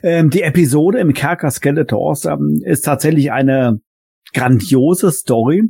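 A man's voice: fairly high pitch, unhurried, gravelly, warm tone, husky, no echo, studio quality. A man hosting a podcast, moderating a casual chat. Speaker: podcast host.

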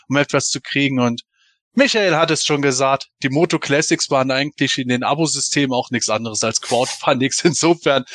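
A man's voice: medium pitch, a bit bright, dry recording. Man hosting a casual podcast, dry sound. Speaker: podcast host. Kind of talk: casual fan podcast discussion.

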